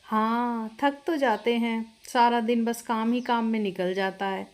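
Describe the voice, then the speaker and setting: A woman's voice: a little high, fairly even intonation, measured pace, a little mellow, natural voice. A woman voicing a chatty eldest sister-in-law who hands out chores in a family story. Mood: matter-of-fact.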